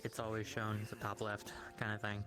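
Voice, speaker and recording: A man's voice: lowish voice, completely flat delivery, a little fast. A man playing a foxtail druid healer, chatting casually in improvised roleplay in a virtual reality game. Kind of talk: casual in-character improvised chat.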